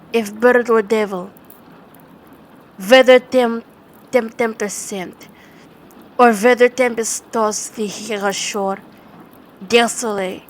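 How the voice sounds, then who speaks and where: A woman's voice: fairly high pitch, a little hiss or hum, low-quality recording. A woman voicing an anguished, grief-stricken man in a dramatic gothic poetry recitation, stumbling over words.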